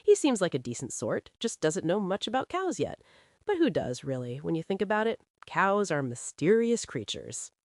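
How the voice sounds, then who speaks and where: Woman voicing a cheerful, animated young ranch hand. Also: lowish voice, moderate pace, no room sound, studio quality. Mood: agreeable, musing. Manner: chatty, eager, oblivious. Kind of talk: audio drama storytelling.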